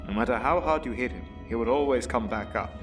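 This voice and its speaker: An actor playing a calm, retired older butler and mentor in an audio drama, formal, dry and measured. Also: male voice, mid-range voice, distant mic, over music, lightly acted. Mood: grave, earnest.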